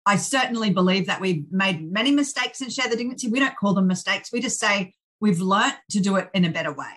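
An Australian woman speaks with bright, forceful energy and little polish. She is blunt and plain-spoken as she tells her story in an interview. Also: mid-range voice, lively intonation, a little fast. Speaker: charity founder, guest. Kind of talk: candid interview chat.

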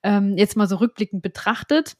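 A woman's voice: medium pitch, expressive, normal speed, dark tone, dry recording, studio quality. A woman from Berlin, a podcast guest, talking in a hesitant, reflective way with many hedges. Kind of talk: casual interview conversation. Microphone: remote call.

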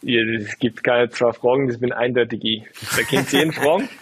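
A man in his twenties, a footballer, answering interview questions in a relaxed, halting way full of fillers. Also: medium pitch, a little hiss or hum, rough recording. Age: 20s. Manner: hesitant, lots of fillers, relaxed. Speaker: footballer guest.

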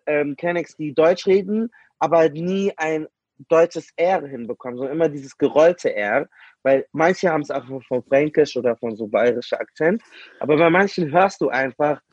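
A young man with a slightly raised, husky voice talks through his thoughts in a casual panel chat, rambling and restarting as he goes. The sound is dry and a little dull. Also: fairly high pitch, a little mellow, no room sound. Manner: rambling, thinking aloud with restarts. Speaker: panel guest. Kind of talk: casual group discussion.

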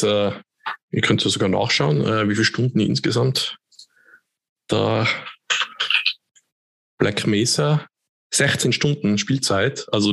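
A man, co-hosting a podcast in relaxed, rambling casual chat. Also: on the low side, gravelly, no room sound. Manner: relaxed, chatty, rambling with fillers. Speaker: podcast co-host.

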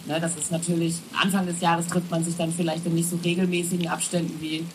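A woman's voice: fairly low pitch, little pitch movement, fast, darkish tone, a little hiss or hum, poor audio. A woman from a festival booking team, talking chattily on a live panel with many fillers and tag questions.

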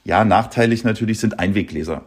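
A man's voice: fairly low pitch, fairly quick, breathy edge. A man who owns a small shop, explaining his products in casual chat while walking through the shop, with lots of fillers and tag questions. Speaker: shop owner giving a tour.

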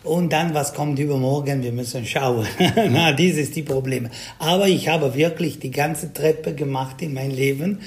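A man from South Tyrol with an Italian accent, a singer answering interview questions in halting German full of fillers. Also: fairly high pitch. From South Tyrol, Italy. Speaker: Schlager singer, interview guest.

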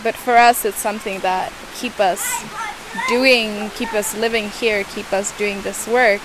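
A woman's voice: mid-range voice, expressive, unhurried, background noise. A young woman with another first language than English, answering interview questions thoughtfully and searching for her words. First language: not English. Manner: hesitant, searching for words, many restarts.

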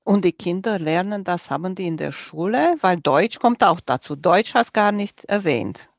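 Lively, gravelly woman podcast host. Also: fairly low pitch, moderate pace, no echo. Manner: curious, friendly questioning. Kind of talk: casual interview chat.

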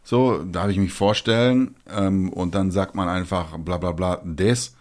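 Low-voiced dryly joking podcast host man. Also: steady tone, a little slow. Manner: joking, ironic asides; explains like a teacher. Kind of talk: casual chat.

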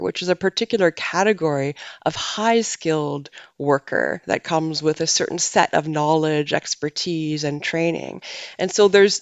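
A woman speaks in a measured, explanatory way and often restarts her phrases. Her voice has a gravelly edge. The recording is dry and a bit muffled. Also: sits low, no echo. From Canada. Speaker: migration researcher. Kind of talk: academic podcast discussion.

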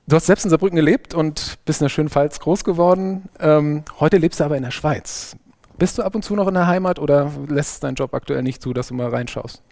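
A man's voice: on the high side, brisk, gravelly, darkish tone, no echo. A man with a Saarland accent interviewing a guest, chatty and playful, asking long elaborate questions. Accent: Saarland German. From Saarland, Germany. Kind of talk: casual interview.

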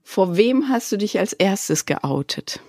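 A woman hosts a podcast in a relaxed, friendly way. She talks slowly and often stops on small fillers while she finds her words. Her voice sits close and dry, with no room around it. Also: medium pitch, no room sound. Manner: friendly, hesitant with frequent fillers. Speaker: podcast host. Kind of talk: casual interview.

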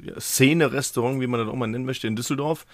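A man in his thirties co-hosting a podcast, chatting in a relaxed, hesitant way with frequent restarts. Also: medium pitch, fast. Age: 30s. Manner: relaxed and hesitant, with restarts and short approving interjections. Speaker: podcast co-host. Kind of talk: casual chat.

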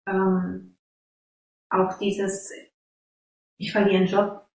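A woman hosts the conversation, thinking aloud in long, searching sentences before she asks her question. Her pitch is mid-range and ordinary. The recording carries heavy room echo. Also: completely flat delivery, slow pace, darkish tone. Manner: reflective, frequent "mhm" backchannels. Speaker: interviewer. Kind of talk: conversational interview. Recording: echoey room.

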